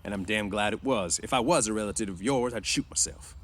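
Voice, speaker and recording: A man voicing a bossy, defensive, quick-tempered ranch hand in a storybook read-aloud, with rough, slangy, scolding talk. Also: on the low side, a bit bright. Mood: scolding, irritated.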